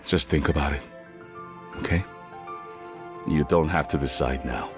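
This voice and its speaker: A gruff but soft-hearted middle-aged father from a video game. He has a low voice and speaks slowly and haltingly, with faint music behind. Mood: earnest, concerned. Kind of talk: animated game voice acting.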